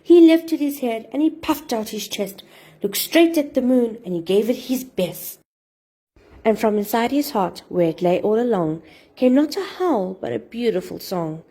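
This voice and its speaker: A woman telling rhyming fairy tales to children as an expressive storyteller and host. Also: on the high side, a little slow, breathy edge.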